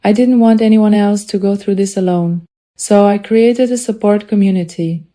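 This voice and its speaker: A woman with a soft, mellow tone and a medium pitch delivers a prepared personal story. She has a foreign accent and speaks earnestly, in short, deliberate phrases. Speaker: student telling a personal story. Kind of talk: prepared personal testimony, read aloud.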